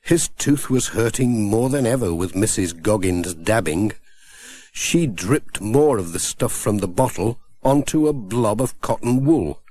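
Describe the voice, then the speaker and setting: A man's voice: fairly low pitch, expressive, measured pace, warm tone. A man narrating a scripted children's story as an audiobook reader.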